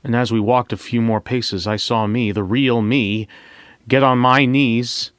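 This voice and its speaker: A man's voice: mid-range voice, fairly even intonation, unhurried, clean tone, dry recording, lightly acted. An actor playing a lovelorn, wounded man who confesses his loss in a dramatic first-person audio story monologue. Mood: wistful, puzzled.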